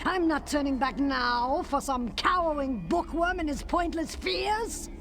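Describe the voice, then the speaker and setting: An actress playing a ruthless, scornful Nazi villainess in a game cutscene, commanding and contemptuous. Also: female voice, sits high, lively intonation, a little slow, a bit bright. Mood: scornful, determined. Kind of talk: dramatic game cutscene acting.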